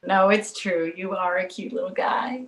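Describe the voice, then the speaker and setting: A woman playing an exasperated, blunt young mother with a sardonic edge in an animated comedy read. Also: mid-range voice, normal speed, echoey room, close to an everyday voice. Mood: softened.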